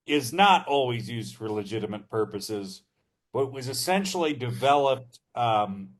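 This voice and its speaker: A man co-hosting a podcast, explaining things in a measured way with the odd um and uh. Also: mid-range voice. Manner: measured, explanatory, with filler ums. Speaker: podcast co-host. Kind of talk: casual podcast conversation.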